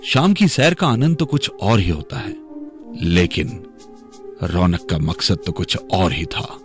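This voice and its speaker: A man's voice: low-pitched, big pitch swings, slow, soft background music. A man reading scripted narration for a radio drama.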